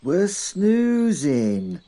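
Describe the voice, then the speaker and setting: Woman doing a very slow, sleepy male werewolf. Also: lowish voice, sing-song, dark tone, exaggerated voice. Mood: sleepy, unbothered. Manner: sleepy, hesitant drawl. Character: lazy, dim, unbothered man merged into a giant werewolf.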